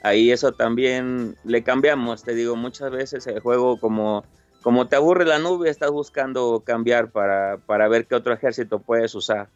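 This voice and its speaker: Guest spot: young Mexican man, relaxed and open, fillers and restarts, mid pitch, faint music underneath. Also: moderate pace, no echo, muffled recording. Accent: Mexican Spanish. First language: Italian-born, raised in Mexico. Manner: friendly, repeats words, frequent fillers. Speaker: interview guest, top game player. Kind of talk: casual interview answers.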